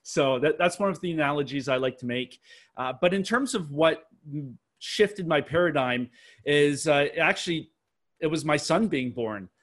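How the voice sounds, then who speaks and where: A man's voice: fairly high pitch, expressive, normal speed. A man in his thirties with a Canadian accent, telling his story as a podcast guest with restarts and analogies. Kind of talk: casual interview answers.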